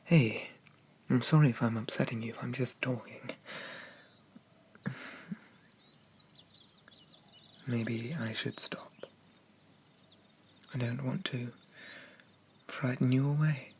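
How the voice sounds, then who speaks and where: A possessive young demon prince, acted by a man in an intimate ASMR roleplay, coaxing and regal with a sweet menace. The voice is soft and breathy, slipping into whispers, with slow phrases and long pauses. A steady rain-like noise runs behind the words. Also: medium pitch, lightly acted. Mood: gently reassuring. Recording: noticeable rain-like background noise.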